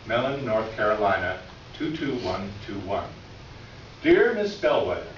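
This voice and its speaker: A man plays a formal, self-important store manager voicing a letter in readers theater. His mid-pitched voice swings widely in intonation. The recording is roomy and muffled, with the mic some way off. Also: natural voice. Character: formal, self-assured male store manager answering a complaint. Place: reverberant room, recorded from a distance. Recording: echoey room, distant mic, muffled, faint noise.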